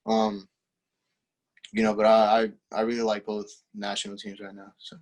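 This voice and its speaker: Halting young man with a low voice. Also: poor audio. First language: Spanish. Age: teens. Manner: relaxed, halting, many fillers and restarts. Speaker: guest, young footballer. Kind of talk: casual interview.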